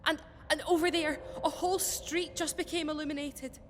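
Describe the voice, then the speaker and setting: A woman's voice: high voice, fairly even intonation, normal speed, fairly bright tone, slight background noise. Steadfast woman rebel, reverberant. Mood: excited wonder. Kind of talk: fiction podcast audio drama acting.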